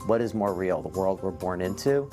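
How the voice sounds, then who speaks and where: A man's voice: deep voice, animated, normal speed. A man speaking as a co-creator in an explanatory interview style. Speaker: project co-creator being interviewed. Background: music.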